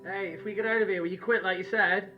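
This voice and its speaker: A man's voice: high voice, fairly even intonation, quick pace, close to an everyday voice. An actor playing an earnest, disillusioned man in a film drama. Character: disillusioned, earnest man who walked away. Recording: dull sound, faint film music.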